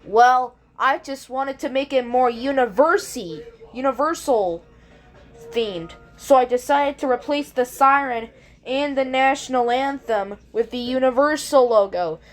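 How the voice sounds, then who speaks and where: A woman's voice: high voice, unhurried. A woman hosting her own video, talking casually to viewers in a rambling, hesitant way and often correcting herself. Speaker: video creator and host. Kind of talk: casual intro and commentary to viewers.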